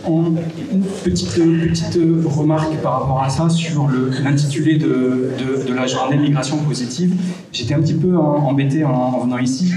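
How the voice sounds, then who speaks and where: A man giving a prepared conference talk with personal anecdotes, in a measured, hesitant way with frequent pauses. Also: medium pitch. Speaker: conference speaker from a volunteer hosting association. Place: echoing room at a public conference. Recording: roomy, distant mic, faint background noise.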